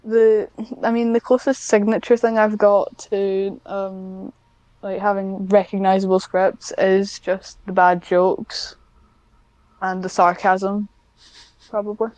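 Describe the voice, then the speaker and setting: A woman's voice: medium pitch, flat delivery, slow pace, darkish tone, no echo, low-quality recording. A nineteen-year-old woman chatting casually, rambling and self-deprecating as she goes. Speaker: podcast guest, ASMR script writer. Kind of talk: casual chat.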